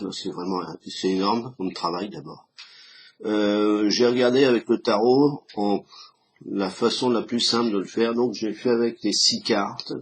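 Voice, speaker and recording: A hoarse man talks casually in a halting way, with many restarts, while he explains a tarot card reading to his camera. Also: on the low side. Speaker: tarot reader. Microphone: camera he set up himself.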